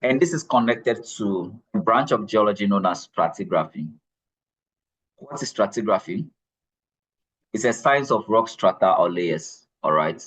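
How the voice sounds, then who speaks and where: A man's voice: on the low side, a bit bright, breathy edge, dull audio. A man giving a geology lecture to students, repeating key points and checking in with "all right?". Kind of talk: classroom lecture.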